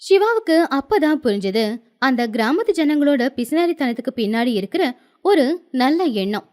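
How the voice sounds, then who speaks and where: A woman's voice: sits high, big pitch swings, moderate pace, a little mellow. A woman narrating a scripted moral tale as a storyteller.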